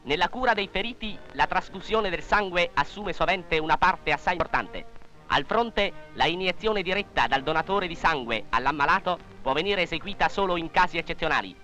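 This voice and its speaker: High-pitched male 1940s newsreel narrator. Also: lively intonation, a little fast, bright, forceful tone, poor audio. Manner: formal, declamatory. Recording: 1940s newsreel soundtrack, music behind.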